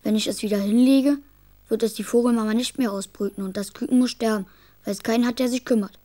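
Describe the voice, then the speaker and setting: A woman's voice: a little high, steady tone, fast talker, mellow, natural voice. A woman playing an earnest, curious young schoolboy in a children's radio drama, thinking aloud in a childlike way. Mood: earnest, concerned. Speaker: actor in a radio play.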